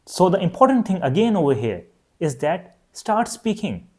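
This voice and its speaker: Man, native Urdu speaker, young adult, online language teacher, clear steady explaining, slightly high voice, very lively intonation. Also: normal speed. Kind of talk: scripted online lesson.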